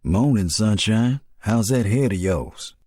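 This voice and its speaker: Man acting loyal military comrade, blunt, folksy, deep mellow voice, dry studio sound. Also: lively intonation, takes it slowly, breathy edge, no room sound, heavily acted. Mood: stern, confronting. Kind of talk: dramatic animatic film dialogue.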